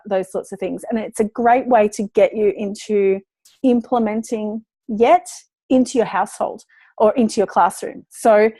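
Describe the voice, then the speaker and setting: Woman, a bit hoarse today, chatty parenting educator, mid pitch, dry close sound. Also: darkish tone, no room sound. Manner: chatty, encouraging, lots of fillers. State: losing her voice. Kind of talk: casual talk to parents.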